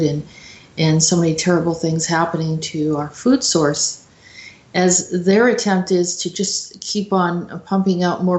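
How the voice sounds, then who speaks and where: A woman's voice: fairly low pitch, darkish tone. Woman commenting informally in echoey room. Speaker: host and commentator. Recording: roomy, echoey sound.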